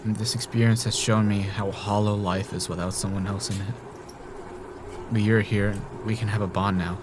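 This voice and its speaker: Casting: male actor for obsessive murderer stuck in a time loop, audio drama, lowish voice, intense and pleading, clearly noisy ambience. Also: little pitch movement, moderate pace, close to an everyday voice. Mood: wistful, persuasive.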